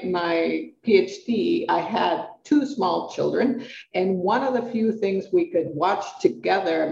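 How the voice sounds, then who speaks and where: A middle-aged woman from Puerto Rico, a professor answering interview questions in a thoughtful, measured way with pauses and restarts. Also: lowish voice, creaky, a little mellow, echoey room, highs cut off. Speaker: guest, English professor.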